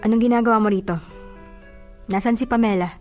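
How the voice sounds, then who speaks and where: A woman's voice: fairly high pitch, fairly even intonation, fast, faint music behind, natural voice. An actress playing a hurt, jealous young girlfriend in a radio drama, sulky, exasperated and insistent in her reproaches. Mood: annoyed, sulky.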